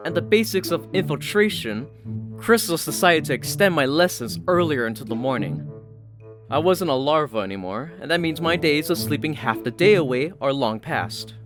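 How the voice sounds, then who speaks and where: A man reading scripted fiction as a story narrator, with music playing behind him. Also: fairly high pitch. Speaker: audiobook-style story narrator.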